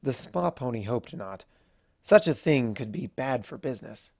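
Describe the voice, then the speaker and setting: A story narrator with a very animated delivery, reading scripted prose. The pitch sits in a middle register between male and female, and the sound is dry and close. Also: normal speed, gravelly, dry recording.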